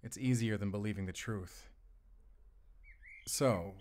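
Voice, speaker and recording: Man acting an earnest, low-voiced wolf hunter. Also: slow, darkish tone. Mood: thoughtful. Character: grown male wolf hunter, earnest, gentle, protective, duty-bound. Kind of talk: cinematic audio roleplay acting.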